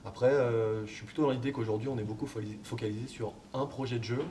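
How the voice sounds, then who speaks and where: A man, a football coach, answering interview questions in a relaxed, hesitant way with many fillers. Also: mid-range voice, fast. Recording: distant mic, background noise.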